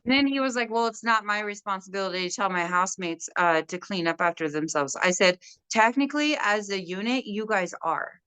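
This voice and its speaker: A young woman with a loud voice, casually telling a story about a stubborn resident at work and acting out both sides. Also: mid-range voice, a little fast, smooth, no echo, poor audio. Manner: animated storytelling, quoting others. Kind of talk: casual conversation.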